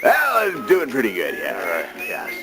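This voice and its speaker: A man's voice: mid-range voice, unhurried. A man hosting a children's morning radio show in a playful, jokey way. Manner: animated, jokey. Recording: radio aircheck with music behind. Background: music.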